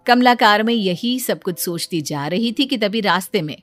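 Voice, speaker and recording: A woman narrates a scripted cartoon tale as storyteller. Her voice sits at a medium pitch, with faint music behind it. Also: animated, moderate pace, fairly bright tone.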